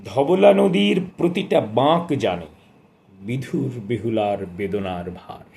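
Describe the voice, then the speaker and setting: A man talks about books and reads from them. His voice is mid-pitched and notably mellow, his delivery formal and deliberate. A faint noise sits behind the recording. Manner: measured, deliberate, formal literary diction. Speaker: book reviewer and poetry reader. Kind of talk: literary book talk with read-aloud passages.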